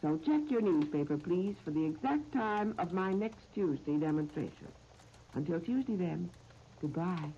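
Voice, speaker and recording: An American woman presenting a scripted 1930s radio cooking demonstration in a measured, instructional, carefully phrased manner. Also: on the low side, expressive, dark tone. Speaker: cooking show host. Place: radio studio. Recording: dull, noisy 1930s radio disc recording.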